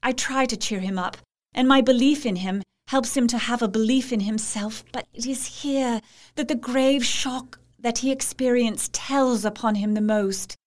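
Woman acting a troubled young Victorian wife, higher voice. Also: moderate pace, lightly acted. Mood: confiding, strained. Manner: tender, formal, confiding. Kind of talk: dramatized letter reading in gothic audio drama. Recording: faint music behind. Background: music.

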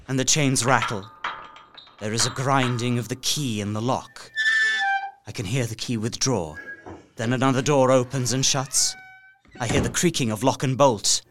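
A man's voice: mid-range voice, background noise, natural voice. Theatrical, desperate young captive, acted by a man. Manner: theatrical, intense, deliberate archaic diction. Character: young Englishman, terrified desperate captive writing his journal. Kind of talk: dramatic gothic radio play monologue. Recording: music under the voice.

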